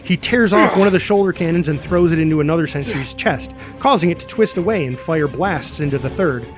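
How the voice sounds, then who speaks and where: A man with a high voice narrates an action screenplay. He reads the directions energetically and punchily, with faint music behind him. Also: brisk. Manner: energetic, punchy sound-effect words. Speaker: narrator reading stage directions. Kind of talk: dramatic scripted narration of a superhero screenplay.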